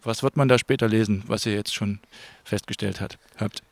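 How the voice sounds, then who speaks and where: A man's voice: fairly low pitch, fairly even intonation, moderate pace, no echo. A man working as an interviewer, asking questions in a casual, informal way.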